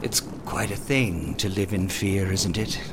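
A man's voice: low-pitched, fairly even intonation, very noisy. A man playing a melodramatic, menacing robot rabbit in a comic radio play, theatrical and easily irked. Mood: wistful, solemn. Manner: slow, theatrical, petulant when interrupted. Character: menacing, melodramatic male chocolate robot rabbit villain, wistfully dying.

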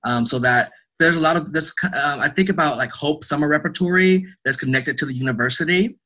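A man with a bright, forward voice gives conversational interview answers. He is earnest and emphatic, often repeating a phrase to drive it home. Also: on the high side, a little fast, poor audio. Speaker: guest, theatre director and artist.

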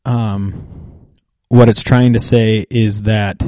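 Slow, halting man in a mellow voice. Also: on the low side, little pitch movement, dry recording. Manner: thoughtful, halting, with restarts and fillers. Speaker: podcast co-host. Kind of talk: casual chat.

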